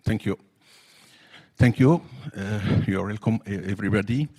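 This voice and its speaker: A professor opens a conference panel in English, which is not his first language. His voice is low and a bit husky. He speaks haltingly, with frequent fillers. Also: a little mellow, long reverb tail. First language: not English; English is his third language. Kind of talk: opening remarks at a conference panel.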